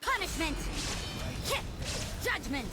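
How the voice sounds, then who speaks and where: A young female video game fighter with an extremely high, lively voice. She declaims short, punchy battle cries. Loud music runs underneath. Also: slow, exaggerated voice. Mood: fierce. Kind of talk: video game combat barks.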